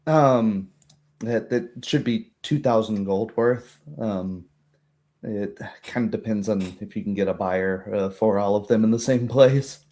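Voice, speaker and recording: A man with a mellow, fairly low voice works as game master of a tabletop session. He narrates slowly and haltingly, feeling out each phrase as he improvises the scene. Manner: hesitant, halting delivery.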